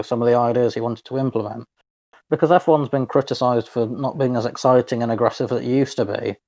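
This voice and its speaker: A man chats as a sports podcast co-host in a mid-pitched voice. He feels his way through each point, often rewording it. The sound is a little muffled and lo-fi. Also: a little mellow. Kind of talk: casual sports discussion.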